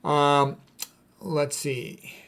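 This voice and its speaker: A man's voice: a little high, flat delivery, very slow, fairly bright tone. A man talking casually and off the cuff as he comments on quotes, in a blunt, opinionated way. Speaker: medical commentator presenting quotes.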